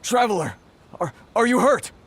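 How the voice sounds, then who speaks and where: A man's voice: extremely high pitch, big pitch swings, moderate pace, fairly bright tone, slight background noise, full character voice. An actor playing a nervous, elderly male merchant in a video game, flustered and stammering. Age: older.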